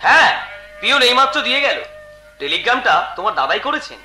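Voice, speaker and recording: Very high piercing voice, scheming young brother-in-law, theatrical declamation, wide swings, folk stage drama with music. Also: male voice, very animated, fast talker, pushed and bright, full character voice, poor audio. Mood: urgent. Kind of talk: Bengali jatra folk-theatre melodrama.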